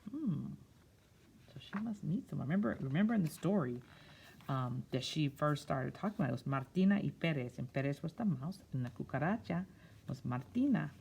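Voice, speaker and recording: A woman's voice: low-pitched, animated, brisk, vocal fry, darkish tone, a little hiss or hum. A woman reading a picture book aloud to children, drawing out phrases expressively and breaking off into simple, casual explanations. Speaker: children's storyteller.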